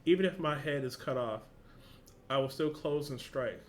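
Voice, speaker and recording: A man's voice: on the high side, normal speed, a little hiss or hum, natural voice. A man reading aloud the lines of a grim male ninja master from a story, in his own voice. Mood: stern. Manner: read aloud plainly from a story.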